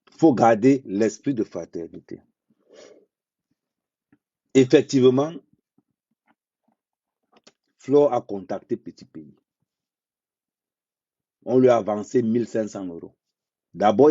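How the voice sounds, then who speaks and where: A man with a low, very dark and husky voice talks bluntly and at a slow, deliberate pace, repeating his points to drive them home. The sound is dry and dull, like a lo-fi phone or webcam mic. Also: no room sound. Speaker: social media commentator. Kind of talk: unscripted live monologue.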